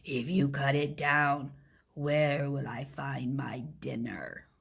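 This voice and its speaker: A woman voicing a hungry male jaguar in a storybook, growling low and slow. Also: level tone, a bit bright, heavily acted. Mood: menacing. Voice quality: low growl, breathy at times.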